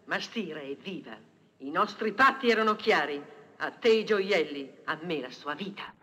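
A woman's voice: on the low side, lively intonation, moderate pace, pushed and bright, big-room sound. A woman playing a vindictive, domineering villainess with a scornful, accusing manner in a vintage film. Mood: scornful, angry. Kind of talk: vintage Italian film acting.

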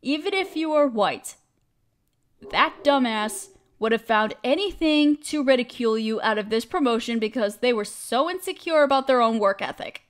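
A woman's voice: a little high, normal speed, natural voice. A woman acting as a caring, protective best friend in an audio roleplay, speaking casually and emphatically. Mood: firm, encouraging. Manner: expressive, emphatic, casual with mild swearing.

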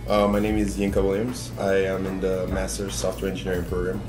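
A man's voice: low voice, monotone, brisk, over music. A young man, giving an interview as a graduate student. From Nigeria. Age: young adult. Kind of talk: interview testimonial. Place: small indoor meeting room.

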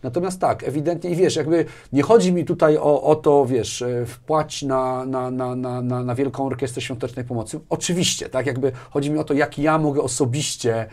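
A man's voice: mid-range voice, steady tone, normal speed, hardly any pauses, darkish tone. A man in his forties talking conversationally as an interview guest, thinking aloud with hesitations and repeated words. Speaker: interview guest, business manager. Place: small furnished indoor studio. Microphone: lapel mic.

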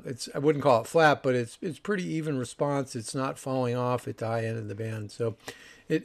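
A man giving a casual, unscripted review voice-over, explaining things in a matter-of-fact, informal way. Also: medium pitch. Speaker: audio review host.

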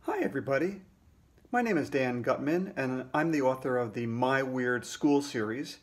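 A man's voice: medium pitch, lively intonation, moderate pace. A man in his sixties, a children's author, casually explaining trivia to kids.

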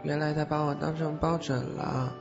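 A man's voice: on the high side, level tone, moderate pace, vocal fry. Man as a childlike, sweet ghost boy. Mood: shy, affectionate. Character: sweet naive young male ghost boy. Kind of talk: Chinese audio drama acting. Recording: dull lo-fi audio with music.

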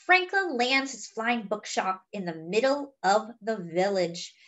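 A woman's voice: mid-range voice, expressive, a little slow, a bit bright, slight background noise. A woman reading a picture book aloud expressively, with chatty, wondering asides to young listeners. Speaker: children's story reader.

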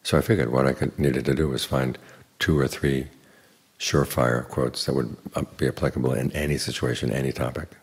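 An older man, a Buddhist monk, giving an evening dharma talk in an unhurried, plain teaching manner with dry humor. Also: steady tone. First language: English. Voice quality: very deep gravelly voice.

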